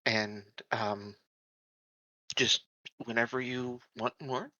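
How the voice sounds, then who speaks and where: A man's voice: medium pitch, fairly even intonation, slow pace, sharp tone, no echo. A man voicing an earnest, secretive non-human colony security officer in a tabletop game, polite and hesitant, on a dull, dry recording. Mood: friendly.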